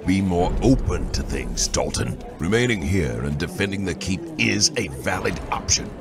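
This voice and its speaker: Deep-voiced stubborn old veteran soldier. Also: male voice, expressive, moderate pace, vocal fry, background noise. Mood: insistent. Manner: insistent, argumentative. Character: stubborn older male veteran, insistent father. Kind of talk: fantasy audio drama acting. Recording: loud music under the voice.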